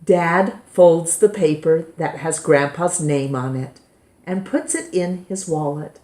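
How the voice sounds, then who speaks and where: A woman reading a children's picture book aloud in a measured, gently expressive way. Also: sits low. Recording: roomy, echoing indoor sound.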